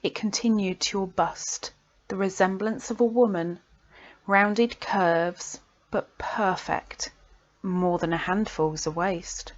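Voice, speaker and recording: A woman reads poetry at a slow, deliberate pace. Her delivery is dramatic and expressive, with breathy pauses that sink toward whispers. The sound is slightly dull and lo-fi, like a home recording. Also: lowish voice. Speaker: poet reading own poems.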